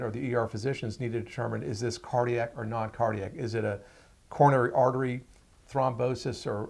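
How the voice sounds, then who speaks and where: Man, middle-aged or older, creaky gravelly voice, average pitch, measured plain-spoken medical explainer. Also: a little mellow. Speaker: radiologist, interview guest.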